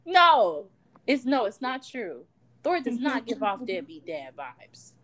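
A woman in her twenties from Chicago, co-hosting a casual fan podcast, bubbly and quick to laugh. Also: crowd noise. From Chicago, Illinois. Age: 20s. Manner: bubbly, laughs a lot, animated swings in pitch and volume. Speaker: podcast co-host. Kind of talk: casual chat.

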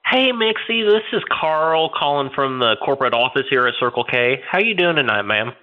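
A man's voice: mid-range voice, expressive, brisk. A man prank calling a store in a breezy, mock-official phone manner, posing as a corporate staffer. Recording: dull, lo-fi sound.

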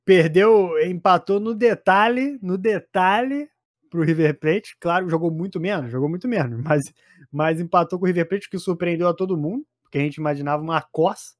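A Brazilian man with a notably high-pitched voice talks football in a loose, slangy style. He is emphatic and repeats phrases to drive them home. The sound is dry, with very little room around it. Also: moderate pace, no echo. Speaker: football podcast commentator. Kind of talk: casual football chat.

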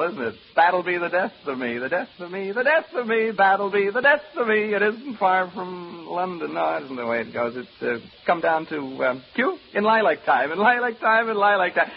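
Nervous stammering radio host guy in a spooky house, swoopy pitch, muffled hissy old horror show. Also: expressive, fairly quick, vocal fry, few pauses. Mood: nervously giddy. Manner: nervous, stammering, rambling, giggly asides to listeners. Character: jittery, rambling radio broadcaster alone in a haunted house, slowly unraveling. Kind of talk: old-time radio horror drama acting. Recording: muffled old radio recording, background hiss.

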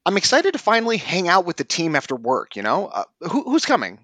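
A man's voice: sits high, wildly swooping pitch, fairly quick, a bit bright, voice pushed up, heavily acted. A man playing a clueless, chatty male office coworker in a comedy sketch, rambling and tactless. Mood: eager.

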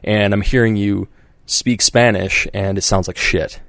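A man's voice: lowish voice, fairly even intonation, a little slow, vocal fry, a bit bright, dry recording. A man hosting a podcast in casual chat, rambling with many fillers and restarts and acting out quoted voices. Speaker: podcast host.

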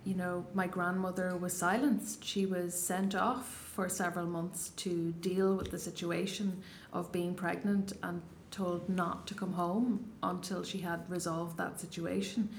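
A woman's voice: on the low side, flat delivery, measured pace, few pauses, slight background noise. A woman in her thirties with a Donegal Irish accent, answering interview questions in a thoughtful, hesitant way with many restarts. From Ireland, Donegal Gaeltacht. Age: 30s. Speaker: poet, interview guest.

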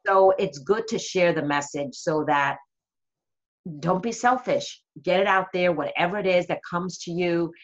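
A woman's voice: fairly low pitch, unhurried, muffled recording. A woman guest and coach from Connecticut, speaking earnestly and motivationally in a casual interview.

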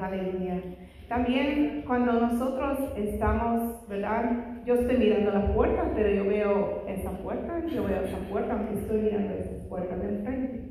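A woman preaching a Bible study in Spanish, her second language, sprinkling in frequent hallelujahs and praises, fervent in prayer. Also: mid-range voice. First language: not Spanish. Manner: frequent "aleluya" and "gloria a Dios" interjections; fervent prayer, louder and faster. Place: large echoey church hall. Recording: echoey hall with long reverb.

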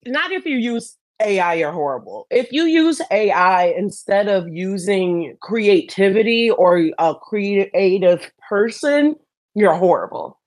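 A woman's voice: mid-range voice, animated, unhurried, a little mellow, no echo. A woman co-hosting a casual panel chat, speaking bluntly and opinionatedly with plenty of swearing. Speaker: podcast co-host.

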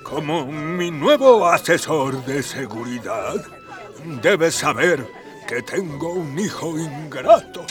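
Give the radio play its pompous emperor: a highish, theatrical voice that stretches words slowly and grandly. He sounds like an aggrieved father and ruler, a little off the mic over soft music. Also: animated, recorded from a distance. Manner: theatrical, drawn-out, grandiose speech. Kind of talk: scripted radio drama acting.

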